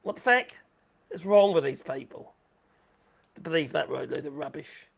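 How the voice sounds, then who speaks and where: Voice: medium pitch, moderate pace, gravelly. A livestream host rambling through sarcastic commentary, saying the voice is going. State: losing voice.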